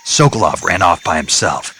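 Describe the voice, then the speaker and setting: An actor playing a gruff, stoic American special forces operative in a video game, with a gravelly voice and a terse, guarded delivery. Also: male voice, low-pitched, very animated, moderate pace, pushed and bright, slight background noise, heavily acted. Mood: grim, businesslike. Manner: terse, guarded, echoes what he hears as questions. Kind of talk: dramatic video game voice acting.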